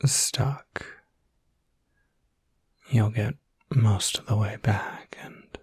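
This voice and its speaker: Man acting gentle werewolf, slow breathy whisper. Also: mid-range voice, no room sound, full character voice. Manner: hesitant, reassuring, casual. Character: gentle, caring young male werewolf looking after someone. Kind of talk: whispered ASMR roleplay, intimate and addressed to the listener.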